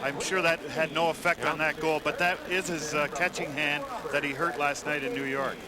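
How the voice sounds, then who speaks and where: A man giving live colour commentary on hockey in a conversational, somewhat halting way. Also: a little high, fairly even intonation, over a noisy crowd. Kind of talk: live sports analysis. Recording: loud arena noise behind. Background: loud hockey arena ambience.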